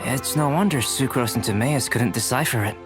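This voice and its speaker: A composed young alchemist, voiced by a man, speaks calmly in an even, mid-pitched voice. His delivery is measured, polite and thoughtful. Faint game music plays behind him. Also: natural voice. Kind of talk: video game dialogue.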